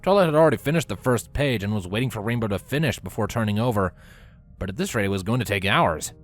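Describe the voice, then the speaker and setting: Man narrating a story, lively intonation. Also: lowish voice, quick pace, soft background music.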